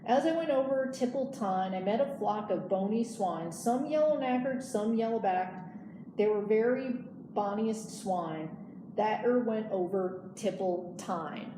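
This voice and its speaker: A woman's voice: medium pitch, measured pace, close to an everyday voice. A woman voicing a cheeky young male squirrel who chants teasing riddles in a sing-song way for a storybook. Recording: echoey room, noisy background.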